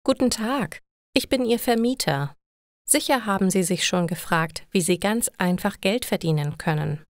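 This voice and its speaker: A woman's voice: medium pitch, lively intonation, moderate pace, no room sound, studio quality, lightly acted. A woman voicing a chatty male landlord in an audio story, ingratiating and salesy. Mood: eager selling.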